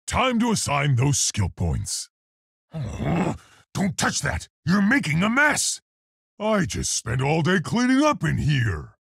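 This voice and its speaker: A large, brave gorilla scientist in a video game. The voice is very husky and gruff, with a gravelly edge. He speaks in earnest, articulate, unhurried phrases, polite yet heroic. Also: fairly high pitch, huge pitch swings, a bit bright, dry recording, studio quality, full character voice. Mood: agitated, shouting. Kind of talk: video game character voice lines.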